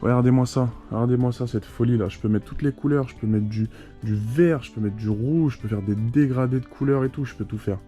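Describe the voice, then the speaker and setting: A man's voice: medium pitch, fairly quick, warm tone, faint music behind. A man from Lyon with a French accent from France, chatting casually and enthusiastically to camera in slangy, informal vlog style. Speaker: vlogger.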